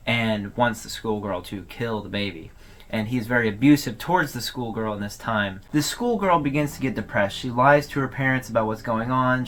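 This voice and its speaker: A man's voice: medium pitch, a bit bright. A man hosting a video, giving casual, conversational explanatory commentary as he retells a story. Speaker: video host recapping an online horror series.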